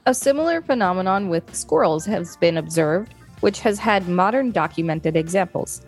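A female podcast co-host with a fairly low voice reads reference text aloud. She adds casual commentary as she goes. Faint music sits in the recording. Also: lively intonation, normal speed. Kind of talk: reading an encyclopedia page aloud with casual commentary.

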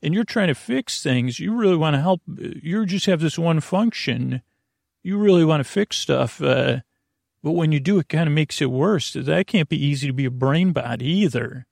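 A man hosting a bedtime podcast in a rambling, halting monologue, whimsical and gently reassuring, often repeating himself and trailing off. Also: fairly high pitch, lively intonation, vocal fry, no room sound, studio quality. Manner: meandering, halting, repeats and trails off mid-sentence. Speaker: podcast host.

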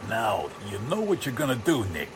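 An actor playing a cocksure, commanding crime boss in the style of 1940s radio drama. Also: male voice, on the low side, wildly swooping pitch, moderate pace. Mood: smug, commanding. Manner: smug, commanding. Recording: clear car engine noise.

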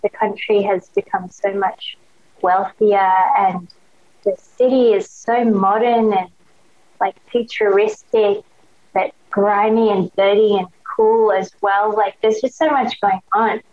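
A woman's voice: medium pitch, fairly even intonation, takes it slowly. A young woman with an Australian accent, a podcast guest chatting eagerly in slangy casual talk full of "like" fillers. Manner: enthusiastic, slangy, many "like" fillers. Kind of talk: casual chat. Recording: dull lo-fi sound.

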